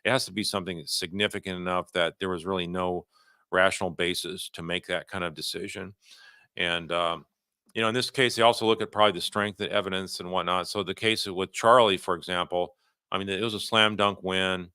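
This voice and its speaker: A man hosting a livestream, giving unscripted legal commentary and answering viewer questions in a halting, conversational way, often rephrasing himself. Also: low voice, fairly even intonation, pushed and bright, clean tone, dry recording, clean studio sound.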